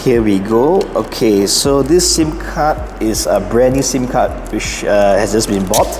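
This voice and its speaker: A man's voice: on the low side, measured pace, background noise. A man hosting a casual product guide, explaining things step by step. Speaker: YouTube host. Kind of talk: casual unboxing and setup guide. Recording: echoey room with long reverb, music behind. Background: clear background music.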